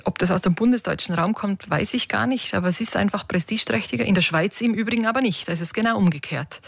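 Gravelly-voiced Tyrolean woman explaining. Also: mid-range voice, fast talker, dry recording, clean studio sound. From Paznaun valley, Tyrol, Austria. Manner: thoughtful, explanatory, frequent hesitations. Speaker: dialect researcher. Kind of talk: radio interview.

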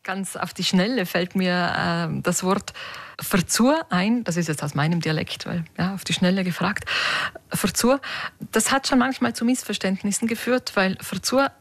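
Gravelly Tyrolean woman, dry close sound. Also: medium pitch, moderate pace, no room sound. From Paznaun valley, Tyrol, Austria. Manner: thoughtful, frequent hesitations with "äh". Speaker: dialect researcher, interview guest. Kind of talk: radio interview, explaining.

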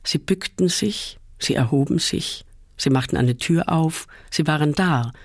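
A man reading a first-person literary essay aloud in a measured, calm way, often slowing down. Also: a little high, gravelly, no room sound, studio quality. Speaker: reader.

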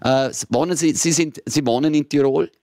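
A man's voice: a little high, fairly quick, warm tone, no room sound, clean studio sound. A man with an Austrian German accent hosting a call-in radio show, chatting casually with many filler sounds and restarts.

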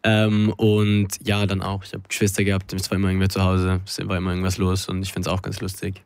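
A man's voice: low voice, monotone, fast, clear, resonant tone, no echo. A man in his twenties, a student guest, chatting casually on a talk show. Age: 20s. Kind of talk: casual chat.

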